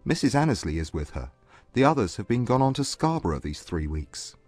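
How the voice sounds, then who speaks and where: A woman voicing a reserved, formal gentleman in a dramatized period novel, politely brief. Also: a bass voice, big pitch swings, brisk, faint music behind, pitched below the performer's own voice, exaggerated voice. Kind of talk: dramatized audiobook dialogue.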